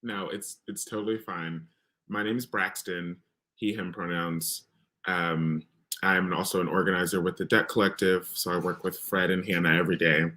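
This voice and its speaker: Deep-voiced upbeat man organizer. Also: steady tone, normal speed, sharp tone. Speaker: debt organizer. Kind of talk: panel introduction. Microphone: video call.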